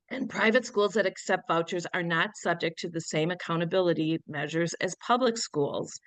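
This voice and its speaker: A middle-aged woman with a cold, her voice a little sniffly, speaks firmly and earnestly as a candidate at a forum. Her voice sits on the lower side, and the sound is dry and close. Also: fairly bright tone, no room sound. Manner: firm, earnest and measured. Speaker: candidate for state senate. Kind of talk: answering questions at a candidate forum.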